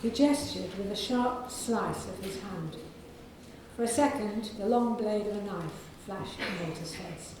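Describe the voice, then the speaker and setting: A woman with a soft, croaky voice, an author reading aloud from her novel to an audience in a library. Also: mid-range voice, very echoey, background noise. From England. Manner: measured reading with clearing of the throat.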